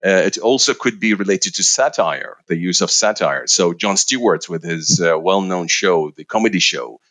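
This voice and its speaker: A man's voice: low-pitched, expressive, moderate pace, a bit bright. A middle-aged man from Iraq, a researcher answering interview questions with many fillers and restarts. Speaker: researcher guest.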